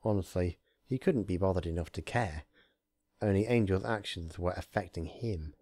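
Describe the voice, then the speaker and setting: A man's voice: sits low, normal speed, darkish tone, no echo. A man working as an amateur narrator, reading a fan story and chatting informally about it.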